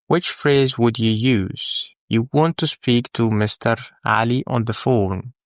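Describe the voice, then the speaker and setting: A man who is a native Arabic speaker, teaching English in a scripted, deliberate, instructional lesson style. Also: mid-range voice, dry recording, clean studio sound. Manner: deliberate, instructional, with pauses for the learner. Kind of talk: scripted language lesson, repeat-after-me drills.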